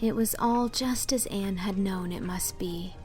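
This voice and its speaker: A woman reading scripted literary narration as an audiobook narrator, in an expressive storytelling manner. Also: mid-range voice, a little slow, faint music behind.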